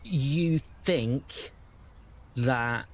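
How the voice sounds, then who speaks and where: Man, British accent, game master, medium pitch, improvised narration, easygoing conversational delivery. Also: very slow.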